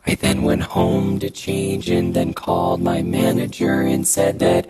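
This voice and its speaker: Low, monotone woman telling a story. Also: measured pace, gravelly, over loud music. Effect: voice effect.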